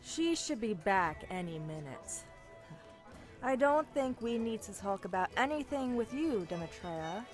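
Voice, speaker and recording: A woman's voice: a little high, very animated, measured pace, faint music behind. A woman playing a sassy, eager young female assassin in a fantasy audio drama, chatty and snarky. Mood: hostile, wary. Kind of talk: amateur fantasy audio roleplay acting.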